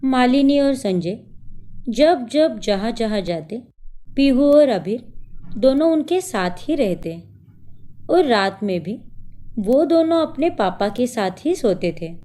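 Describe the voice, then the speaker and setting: A woman narrates a scripted story. Her voice is on the high side and very mellow, and she takes her time over each sentence. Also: lively intonation, slow, pauses often.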